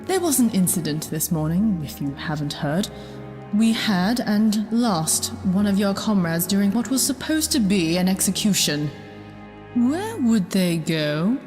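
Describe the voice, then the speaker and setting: A woman's voice: medium pitch, lively intonation, a little slow, natural voice. An actress playing a cold, sadistic general in an audio drama, menacing and mocking in her delivery. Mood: mocking, curt. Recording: long hall reverb, music bed.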